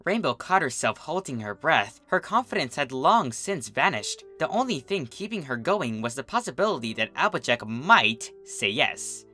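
A fan fiction reader narrates in a bright, mid-pitched voice. The delivery is dramatic and very lively, like a storybook read aloud, with music playing behind it. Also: moderate pace, few pauses.

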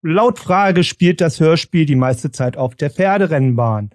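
A man's voice: a little high, animated, a little slow, a little mellow, dry recording, clean studio sound. A man moderating a casual panel discussion on a review podcast.

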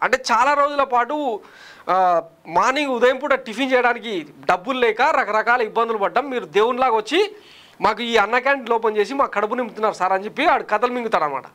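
Fast, high-voiced sarcastic male presenter. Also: sharp tone. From Andhra Pradesh, India. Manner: sarcastic, combative, rhetorical questions. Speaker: political news presenter. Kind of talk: scripted political commentary. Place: studio in front of a large screen. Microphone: lapel mic.

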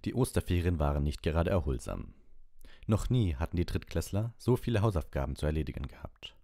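A man's voice: low voice, sing-song, brisk, creaky, mellow, no echo, clean studio sound. A man reading an audiobook as narrator, in scripted storybook narration.

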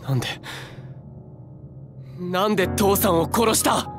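A man voicing an earnest, intense young hero in a Japanese video game, speaking plainly and deliberately. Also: sits high, expressive, music in the background. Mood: shocked, furious.

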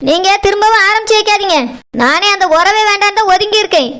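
A woman's voice: very high voice, quick pace, bright, forceful tone. A woman playing a selfish, hard-hearted daughter-in-law in a family drama skit, curt and dismissive, with a southern Tamil Nadu accent. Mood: scolding. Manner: scolding, dismissive.

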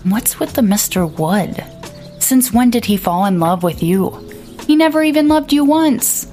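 A woman voicing an irritable, scornful young man who scolds his sister, pitched a little above her narration, with faint music behind. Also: lively intonation, a little slow, dark tone.

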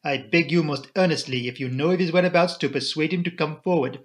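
A man voicing an elderly, courteous detective in an audiobook, with a formal, imploring, deliberate delivery. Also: a little high, lightly acted. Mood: urgent pleading. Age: older. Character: elderly Belgian male detective, courteous, earnest, commanding.

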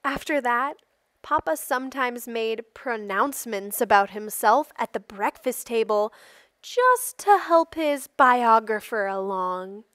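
A woman reading a children's picture book aloud expressively, with deliberate phrasing and emphatic stresses. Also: on the high side, expressive, fairly bright tone, no echo. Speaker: storybook reader.

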